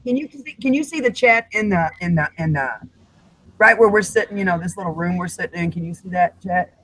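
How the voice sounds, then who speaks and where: Bright, high-voiced man rambling on a call. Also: fairly quick, slight background noise, poor audio. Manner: rambling, restarts sentences, gets fired up. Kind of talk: casual group video chat.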